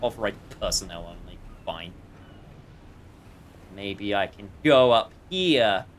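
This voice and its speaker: A man's voice: lowish voice, lively intonation, slow pace. A man giving casual gameplay commentary as a streamer, with a joking, sarcastic manner. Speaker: game streamer.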